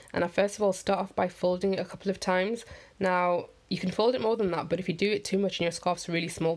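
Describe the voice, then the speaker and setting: A woman vlogger with a croaky morning voice, talking through a head-wrap tutorial in a chatty, rambling, upbeat voiceover. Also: mid-range voice, a bit bright. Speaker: fashion vlogger. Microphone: voiceover mic.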